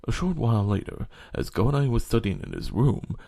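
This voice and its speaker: A man's voice: mid-range voice, big pitch swings, moderate pace, gravelly, warm tone. A man reading scripted story narration as the narrator of an audio drama.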